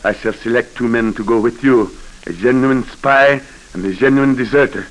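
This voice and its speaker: A man's voice: medium pitch, normal speed, lightly acted, low-quality recording. A commanding Haitian official as acted by a man speaks. He is imperious, sardonic and theatrical, in the manner of 1940s radio drama. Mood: assured, ominous.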